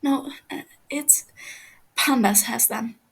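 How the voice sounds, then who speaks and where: Woman playing a wounded male prisoner, frightened, halting, high strained voice, husky edge, fan fiction reading. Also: exaggerated voice. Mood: pleading. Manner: stammering, halting, gasping. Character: terrified wounded captive man, pleading and stammering.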